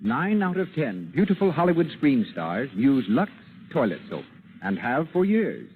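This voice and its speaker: A man's voice: mid-range voice, big pitch swings, normal speed, music in the background. A man reading a soap advertisement as a commercial announcer in old-time radio style. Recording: vintage radio recording, narrow band.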